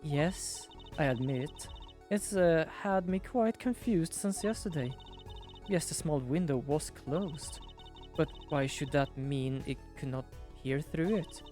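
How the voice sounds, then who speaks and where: A timid, hesitant woman witness speaks softly and apologetically, stumbling over her words, as a man reads her part. Her voice sits a little high, and faint game music plays underneath. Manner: soft, halting, apologetic.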